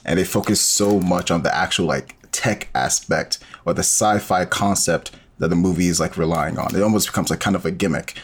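A man's voice: deep voice, brisk, hardly any pauses, breathy edge. A man as a podcast guest, talking casually and rambling with many fillers. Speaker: podcast guest, audio drama creator. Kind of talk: casual interview conversation.

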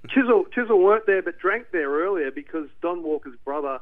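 A middle-aged man with an Australian accent, from Newcastle, talking as an interview guest, animated and rambling with restarts. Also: high-pitched, fairly quick. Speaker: guest, documentary director. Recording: tinny phone line.

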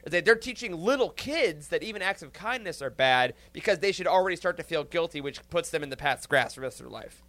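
A man's voice: fairly high pitch, animated, fast, a bit bright, no room sound. A man in a casual roundtable discussion, talking in an emphatic, informal, analytical way. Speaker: podcast panelist.

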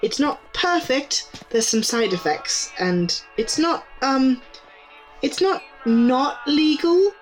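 A woman's voice: sits high, lively intonation, slow pace, soft background music, rough recording. A woman playing an easygoing, helpful young clerk of a magic shop in an audio drama, talking casually. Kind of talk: naturalistic audio drama dialogue.

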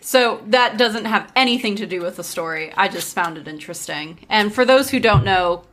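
A woman with a bright, ringing voice hosts a relaxed podcast among friends. She talks in a breezy, chatty way, full of "like" and quick asides. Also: medium pitch. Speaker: podcast host. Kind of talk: casual storytelling chat with friends.

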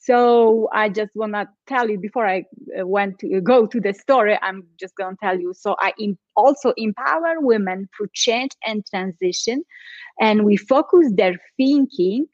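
A woman's voice: medium pitch, moderate pace, darkish tone, dry recording, highs cut off. A woman from Poland with a Polish accent, a coach who tells her own story earnestly and with feeling in a podcast chat. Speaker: guest, change and transition coach.

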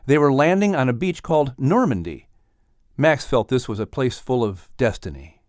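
A man's voice: medium pitch, animated, moderate pace, darkish tone, no room sound, clean studio sound. A man announcing and narrating a children's story in an upbeat, scripted style.

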